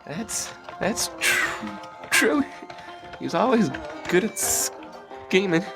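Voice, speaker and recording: A man playing a meek, dim-witted younger outlaw brother in a western audio drama, halting and stammering, with a rural American accent. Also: high-pitched, big pitch swings, vocal fry, over music, exaggerated voice. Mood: apologetic, meek.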